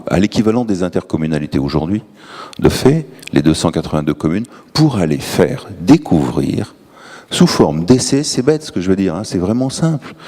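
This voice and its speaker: Man, very low and mellow voice, halting panel talk, long hall reverb. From Tours, France. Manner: hesitant, many fillers and restarts. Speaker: energy syndicate official on a panel. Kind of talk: conference panel remarks. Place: large conference hall.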